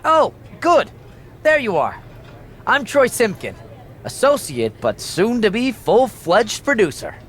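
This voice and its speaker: An actor playing an eager young associate producer who is overly chummy and slightly condescending. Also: male voice, high-pitched, wildly swooping pitch, slow, a bit bright, a faint crowd, exaggerated voice.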